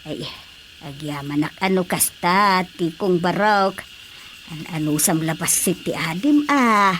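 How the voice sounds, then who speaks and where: A woman's voice: lowish voice, expressive, a little slow, a bit bright, slight background noise. A woman with an Ilocano accent playing a fretful, chatty village mother and wife in a radio drama. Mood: worried.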